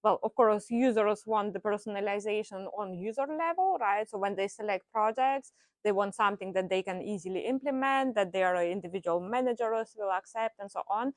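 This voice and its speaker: A woman talks through her ideas on a panel, pausing on fillers and checking in with "right?" as she goes. Her voice sits mid-range, and the sound is dry and close. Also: medium pitch, dry recording. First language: Russian. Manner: thoughtful, frequent "right?" tags and fillers. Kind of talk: panel discussion.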